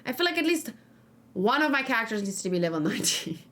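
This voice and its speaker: A woman's voice: fairly high pitch, sing-song, fast talker, a bit bright. A woman streaming a video game, thinking aloud informally and talking casually with her viewers. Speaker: video game streamer, let's play host. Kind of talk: casual live game commentary, chatting with viewers.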